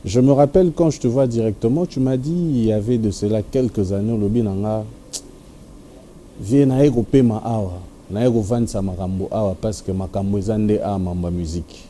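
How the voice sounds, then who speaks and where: A man's voice: fairly low pitch, a little fast, dark tone, a little hiss or hum. A man hosting a TV music news show, speaking in formal announcer phrasing and switching into Lingala. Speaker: TV show host. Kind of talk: TV entertainment news and interview.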